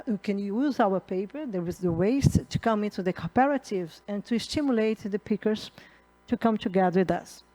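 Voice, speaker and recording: A middle-aged woman from Brazil with a Brazilian accent and Portuguese as her first language, moderating a conference panel in halting English full of fillers and restarts. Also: mid-range voice, a little mellow. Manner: halting, many fillers and restarts, laughs at own slips. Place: conference hall.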